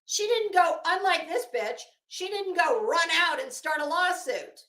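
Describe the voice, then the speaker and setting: High-pitched woman ranting slowly in a roomy space. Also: expressive, a bit bright. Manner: blunt, profane, emphatic, halting with drawn-out words. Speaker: online commentary host. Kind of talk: casual rant commentary addressed to viewers. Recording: roomy echo.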